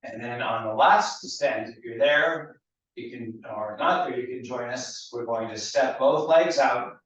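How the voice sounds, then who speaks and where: A male fitness instructor, slightly hoarse, calmly cues each movement of a mat routine. His voice sits at a middling pitch and comes from some way off the microphone. The studio around him rings with a heavy echo, and the sound is a little dull. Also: measured pace, distant mic. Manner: calm step-by-step cueing. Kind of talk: guiding a mat mobility class.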